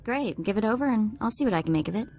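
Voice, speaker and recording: A woman playing a young, eager tech slicer who talks in a quick, chatty, teasing way in video game dialogue. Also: mid-range voice, expressive, a little mellow, lightly acted. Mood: perky. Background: faint game music.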